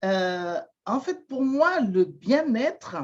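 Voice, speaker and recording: A woman from Madagascar, not a native French speaker, talks very slowly in an interview. She hesitates, stacks up fillers and repeats words as she searches for them. The sound is a bit dull and lo-fi. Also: a little high, big pitch swings. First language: Malagasy. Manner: slow, halting, many fillers and repeated words. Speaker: guest, shamanic therapist. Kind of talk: interview answers.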